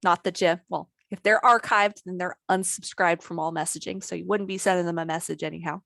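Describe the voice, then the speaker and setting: A woman trainer talking through a software tutorial in a casual, conversational way, her voice a little worn today. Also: fairly low pitch, steady tone, brisk, gravelly, no echo, studio quality. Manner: conversational, lots of "maybe" and "you know". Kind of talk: screen-share software tutorial.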